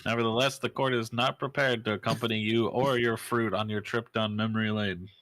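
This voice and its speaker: A man's voice: fairly low pitch, fairly even intonation, fairly quick, fairly bright tone, close to an everyday voice, rough recording. A man voicing a stern, pompous judge in a courtroom video game, speaking very formally.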